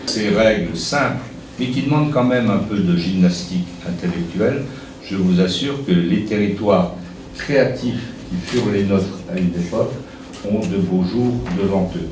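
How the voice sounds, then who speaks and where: A man's voice: low voice, normal speed. A man giving a formal, partly improvised speech as an official at a ceremony. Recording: very echoey room, distant noisy mic.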